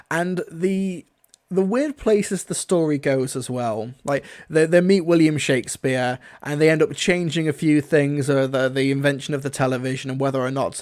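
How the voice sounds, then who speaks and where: Casting: male online reviewer with a medium-pitched voice, chatty and keen, stressing points through repetition. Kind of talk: casual livestream review.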